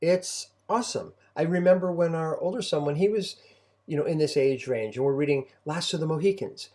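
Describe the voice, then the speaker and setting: Mellow middle-aged man, casual and reflective. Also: fairly high pitch, a little fast. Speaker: homeschooling parent and co-host. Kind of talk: casual conversational advice talk.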